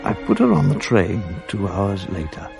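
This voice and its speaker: A man's voice: lowish voice, sing-song, moderate pace, gravelly, music in the background. A man giving rueful, reflective first-person narration in a 1980s radio drama.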